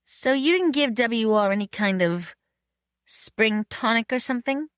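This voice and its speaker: An anxious, girlish movie actress from a storybook , voiced by a woman narrator. Her voice sits high, with hesitant, stammering phrases and old-fashioned slang, protective and a little naive. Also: animated, measured pace, fairly bright tone, clean tone, no echo, clean studio sound. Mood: softened.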